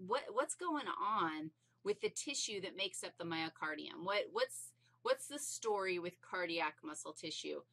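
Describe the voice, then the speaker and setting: Bright, emphatic woman teaching casually. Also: mid-range voice. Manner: animated, playful, emphatic. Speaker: anatomy teacher. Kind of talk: informal teaching explanation.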